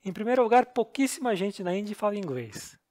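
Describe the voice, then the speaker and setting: A man's voice: sits high, big pitch swings, a little fast, darkish tone. A Brazilian man with a Brazilian Portuguese accent, co-hosting a podcast and telling travel stories in an earnest, explanatory, self-deprecating way. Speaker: podcast co-host. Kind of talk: casual storytelling chat.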